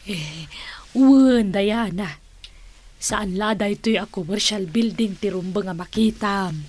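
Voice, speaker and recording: A woman's voice: medium pitch, takes it slowly, a little mellow, no echo. A woman playing a wealthy, playful auntie with a Filipino accent in a radio drama, proud and indulgent. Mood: proudly revealing. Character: wealthy, playful, generous auntie who owns businesses.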